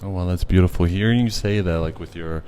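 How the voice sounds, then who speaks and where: A man's voice: low-pitched, a little fast, dark tone, smooth. A man hosting a podcast, talking casually and effusively, filling speech with "like" and "you know.". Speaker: podcast host. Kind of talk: casual interview.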